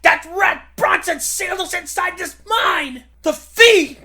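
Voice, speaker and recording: Man playing a high-pitched, panicky radio serial victim. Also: a little slow, bright, forceful tone, a little hiss or hum, full character voice. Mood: alarmed. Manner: exclaiming, high-strung, melodramatic. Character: panicked, excitable men trapped in a mine. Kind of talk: 1940s radio serial acting.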